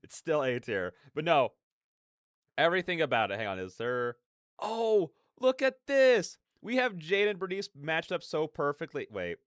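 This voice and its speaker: A man's voice: on the high side, big pitch swings, moderate pace, fairly bright tone, clear, resonant tone, no echo, studio quality. A man giving casual, effusive, slangy reaction commentary as a streamer, often repeating his phrases.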